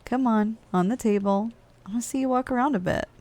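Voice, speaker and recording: A woman's voice: on the high side, a little fast, a little mellow, a little hiss or hum, close to an everyday voice. A woman playing a weary, snack-craving giantess office worker in a chatty, teasing, conversational ASMR roleplay monologue. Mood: friendly, wistful.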